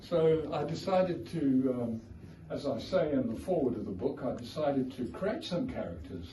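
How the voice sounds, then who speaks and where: A man's voice: medium pitch, expressive, moderate pace, dark tone. A man with a South African accent, telling wry, wandering anecdotes as an author at his book launch. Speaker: author giving a launch talk. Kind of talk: informal speech to a crowd. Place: art gallery, indoors. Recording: echoey gallery, distant, noisy.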